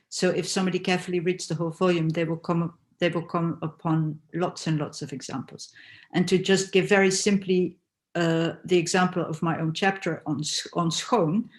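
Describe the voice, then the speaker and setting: Woman book editor answering on a panel. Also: lowish voice. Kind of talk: panel discussion.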